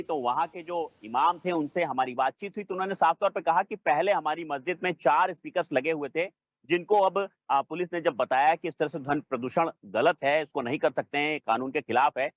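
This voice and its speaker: A man's voice: on the high side, lo-fi sound. A man with a North Indian accent reporting live as a news correspondent, hesitating and leaning on stock linking phrases, over a band-limited link.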